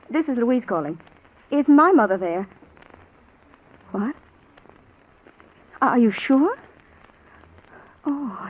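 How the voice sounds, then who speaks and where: An actress playing a worried, insistent wife in a 1940s radio drama, earnest and full of questions. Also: female voice, on the high side. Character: worried, insistent wife, anxious about her missing mother. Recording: tinny, hissy 1940s radio recording.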